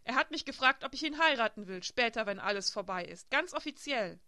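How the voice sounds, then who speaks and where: A woman reading a historical novel, voicing a young woman who pleads in a high, heated voice. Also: animated, fairly quick, sharp tone, no echo, clean studio sound. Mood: insistent. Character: young woman, tearful then furious.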